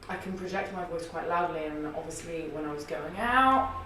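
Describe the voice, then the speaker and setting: Husky young woman chatting away, kinda far from the mic, really echoey room, faint hiss. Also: animated, moderate pace, pushed and bright. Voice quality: husky low voice. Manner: chatty, laughs often, rambling storytelling. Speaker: podcast guest. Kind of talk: casual interview chat.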